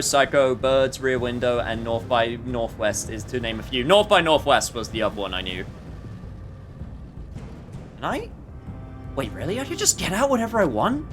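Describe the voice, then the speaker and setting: A man giving casual, wry gameplay commentary as a streamer, joking at his own expense and thinking aloud. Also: medium pitch. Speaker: streamer playing a game. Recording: faint game music behind.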